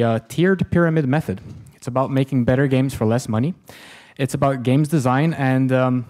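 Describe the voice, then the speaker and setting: A man's voice: medium pitch, darkish tone. A man in his thirties with a Swedish accent, giving a self-deprecating, joking conference lecture from a podium, with lots of ums. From Sweden. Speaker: conference speaker. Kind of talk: lecture presentation. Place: conference hall with audience. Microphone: podium microphone, far camera.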